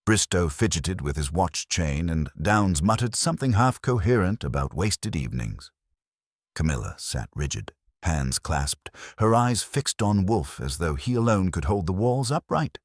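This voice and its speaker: A very deep male voice narrates a detective mystery, with a husky grain to it. The delivery is a scripted reading with lively swings in pitch, recorded close and dry. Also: unhurried, no room sound. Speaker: audiobook narrator.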